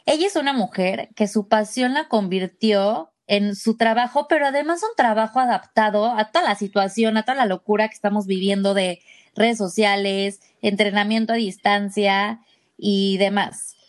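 A woman's voice: mid-range voice, normal speed. A woman hosting a podcast for mothers, welcoming and introducing her guest in an upbeat, friendly way. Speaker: podcast host.

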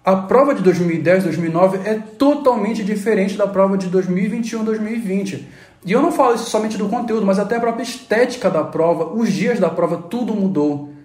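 A man's voice: high voice, fairly quick, darkish tone. Emphatic male teacher, echoey room. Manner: emphatic, lecturing to students. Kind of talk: educational panel discussion.

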